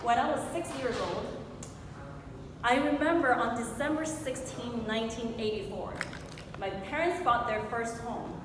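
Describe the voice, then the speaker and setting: A woman in her forties from Hong Kong giving a motivational speech, telling a personal story and putting questions to her audience. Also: unhurried. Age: 40s. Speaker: motivational speaker. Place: large echoing room before an audience. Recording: very echoey hall with clear noise and rumble.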